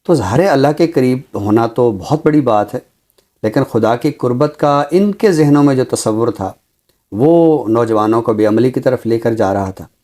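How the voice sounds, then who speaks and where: A Pakistani man speaking formally and deliberately as a literary commentator, with emphatic, repeated phrasing. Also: mid-range voice, expressive, dark tone. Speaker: literary commentator on a talk show. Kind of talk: measured, formal literary discussion and poetry recital.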